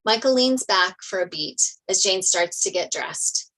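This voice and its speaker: A woman's voice: mid-range voice, animated, a little slow, fairly bright tone, rough recording. A woman reading stage directions as narrator in a table read of a TV script.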